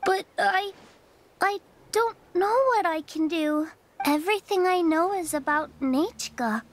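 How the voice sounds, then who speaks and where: Timid little game girl, tiny high voice, slow n hesitant, sounds fragile. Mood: hesitant, lost. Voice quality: small, childlike. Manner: hesitant, halting, vulnerable. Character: timid, fragile young girl, long mistreated. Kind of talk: video game voice acting.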